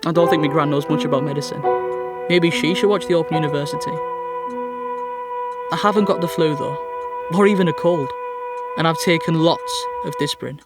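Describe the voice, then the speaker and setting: An actor playing a sickly, self-pitying teenage schoolboy with a North East English accent in an audio drama monologue, glum and sardonic. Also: male voice, fairly high pitch, steady tone, a little fast, pauses often, loud music behind the voice, close to an everyday voice. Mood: glum, aggrieved. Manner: glum, complaining, melodramatic.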